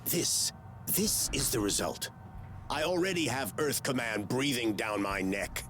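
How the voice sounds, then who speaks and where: An actor playing an exasperated, domineering human general in a video game, irritated and demanding. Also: male voice, medium pitch, animated, unhurried, over music, close to an everyday voice. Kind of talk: video game cutscene acting.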